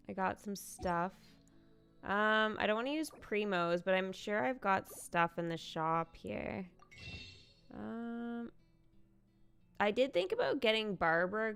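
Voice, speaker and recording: A woman hosting a live game stream in casual, excitable chat, thinking aloud as she goes. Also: mid-range voice, creaky, clear, resonant tone. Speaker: livestream host, gamer.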